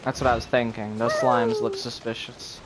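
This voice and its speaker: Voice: medium pitch, little pitch movement, moderate pace, loud music behind the voice, rough recording. A game streamer giving casual live commentary, reacting offhand in short asides.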